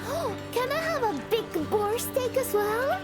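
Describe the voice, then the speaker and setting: A woman voicing a cheerful, boastful young wolf-girl huntress in a visual novel, childlike, playful and excitable. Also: very high-pitched, a little slow, loud music behind the voice. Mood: playful, eager. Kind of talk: animated visual novel voice acting.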